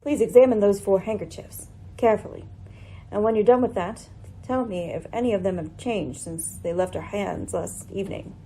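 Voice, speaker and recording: A woman playing a composed, incisive lady detective in a radio mystery, speaking in a deliberate, formal, commanding way. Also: mid-range voice, warm tone, a little hiss or hum, close to an everyday voice, low-quality recording. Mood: accusing, controlled. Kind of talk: radio mystery drama acting.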